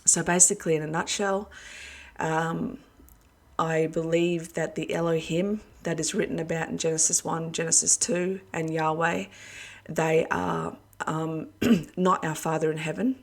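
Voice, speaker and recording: A woman giving a casual video address to her followers as an online preacher, her voice hoarse and going, talking earnestly and rambling with many fillers. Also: sits low, level tone, unhurried. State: losing her voice.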